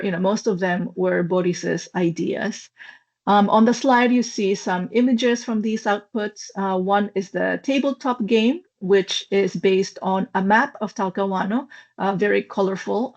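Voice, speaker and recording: A woman's voice: mid-range voice, vocal fry. A woman lecturer presenting her research in a webinar, speaking thoughtfully with frequent ums and uhs. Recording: slightly dull, lo-fi online call audio.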